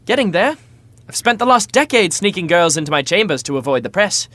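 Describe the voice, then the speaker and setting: A flippant royal heir in a rom-com audio drama. The delivery is casual and animated, full of sarcasm and exasperation, recorded close and dry. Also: female voice, on the low side, fairly quick, dry recording. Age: young adult. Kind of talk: naturalistic romantic comedy audio drama acting.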